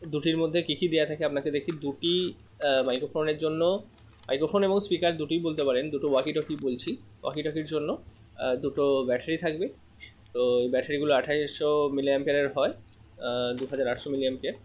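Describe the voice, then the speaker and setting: A man giving a casual product demonstration as a seller, explaining step by step with frequent hesitant pauses. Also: fairly high pitch, fairly even intonation.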